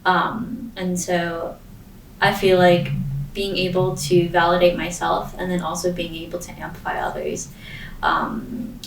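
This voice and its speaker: Young woman student, low-ish voice, earnest and filler-heavy; distant mic in a roomy space with faint hiss. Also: animated, measured pace, creaky. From Maine. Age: young adult. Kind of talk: casual discussion answer.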